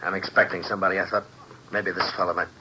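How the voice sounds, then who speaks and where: Chunky nervous fellow played by a man, vintage radio: low register, apologetic, halting, noisy aged recording. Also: level tone, lightly acted. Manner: hesitant, apologetic. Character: chunky, jumpy, apologetic fellow expecting trouble. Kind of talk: 1950s radio drama acting.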